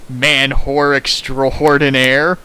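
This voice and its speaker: A man's voice: mid-range voice, little pitch movement, drawn-out words, pushed and bright, clear, resonant tone, rough recording. A man doing a mocking dramatic reading of fan fiction with sarcastic, hammy asides, recorded at home with hiss.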